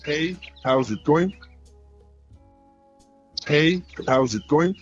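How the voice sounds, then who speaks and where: A man's voice: a little high, expressive, extremely slow, highs cut off, soft background music. A synthetic male voice playing a friendly, casual cartoon character making scripted small talk.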